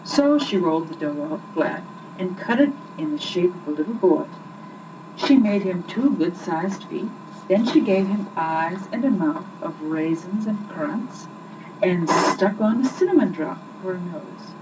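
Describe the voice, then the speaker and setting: A young woman reading children's stories aloud in an expressive, rhythmic storytelling style, on an old muffled home recording. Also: fairly low pitch, measured pace. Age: young adult. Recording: muffled, noisy 1980s home recording, distant mic.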